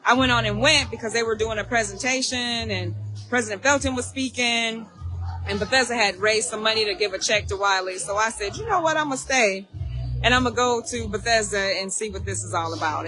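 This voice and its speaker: A hoarse woman, hosting a casual live chat for alumni, talking fast and chatty and repeating herself. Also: mid-range voice, pushed and bright, faint music behind, low-quality recording. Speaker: alumni association host.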